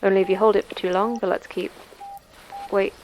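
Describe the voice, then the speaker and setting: A woman's voice: medium pitch, little pitch movement, brisk, a little mellow, a little hiss or hum, natural voice, low-quality recording. A woman playing a guarded, knowing guide through dangerous terrain in a sci-fi horror audio drama, cautioning and understated. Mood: wary.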